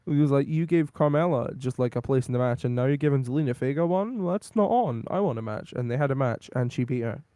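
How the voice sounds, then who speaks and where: A man with a worn, hoarse voice talks casually through a podcast recap, wandering from point to point with plenty of ums. His voice sits mid-range and the sound is close and dry, with no room around it. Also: mid-range voice, fast, few pauses, dark tone, no echo. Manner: rambling, lots of ums and laughs. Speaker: podcast co-host. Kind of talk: casual wrestling recap chat.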